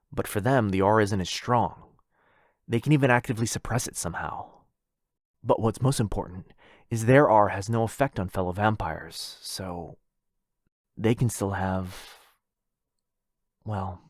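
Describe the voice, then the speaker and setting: A man playing a needy, wounded yandere vampire brother in a boyfriend roleplay audio drama, halting and intense. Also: on the low side, no echo, studio quality.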